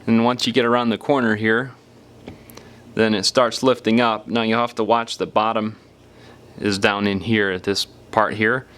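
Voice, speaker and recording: A man's voice: lowish voice, flat delivery, normal speed, fairly bright tone, clear, resonant tone. A man narrating a hands-on how-to in an unscripted, casual step-by-step way, sometimes leaving phrases unfinished. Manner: casual step-by-step explaining, trailing off mid-phrase. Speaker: repair tutorial presenter.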